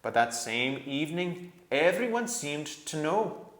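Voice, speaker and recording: Man voicing a steadfast talking badger, raised pitch. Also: very animated, a little slow, fairly bright tone, roomy sound. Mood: earnest, firm. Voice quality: thick voice. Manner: earnest, firm, reproving.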